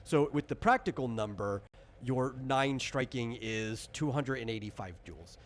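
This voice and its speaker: A man talks casually and explains as he goes, like a game designer walking someone through rules, often tagging points with "right?" Some noise sits faintly behind his medium-pitched voice. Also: lively intonation, moderate pace. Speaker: game designer guiding character creation.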